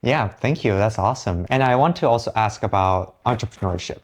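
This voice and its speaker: A man hosting a podcast interview, asking short questions and giving quiet affirmations. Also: on the low side, a little fast. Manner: brief questions, many soft affirmations. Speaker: podcast host.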